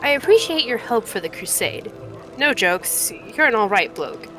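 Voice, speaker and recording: A woman's voice: a little high, very animated, normal speed. A woman voicing a tough, wry female city scout in a video game, with a folksy, sarcastic, slangy way of talking. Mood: frank, exasperated. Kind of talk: reading video game dialogue aloud. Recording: loud music under the voice.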